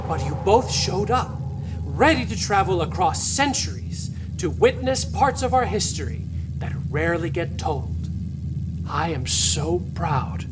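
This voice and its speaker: A man's voice: high voice, very animated, unhurried, noisy background. A man playing Horacio, a mysterious cousin who summons children on time-travel quests and praises them with pride. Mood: proud. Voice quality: proud, warm-hearted and mysterious. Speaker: mentor figure. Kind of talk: audio drama acting. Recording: distant voice over loud music.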